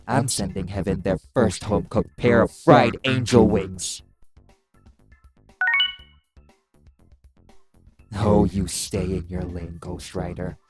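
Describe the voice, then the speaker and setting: Man playing a deep, brash demon biker. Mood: furious. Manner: taunting, threatening, swearing. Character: brash, menacing male demon biker, sarcastic and foul-mouthed. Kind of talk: audio roleplay acting.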